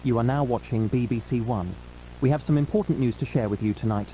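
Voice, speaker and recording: Mellow-voiced man reading a formal emergency announcement. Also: medium pitch, fairly quick, a little hiss or hum, rough recording. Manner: formal, solemn. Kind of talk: scripted emergency news announcement.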